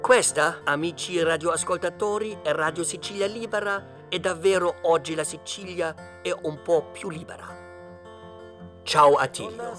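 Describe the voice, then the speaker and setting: An actor playing a defiant, mournful free-radio host in a crime drama, addressing listeners emphatically and theatrically. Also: male voice, on the high side, moderate pace, a bit bright, close to an everyday voice. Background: guitar music.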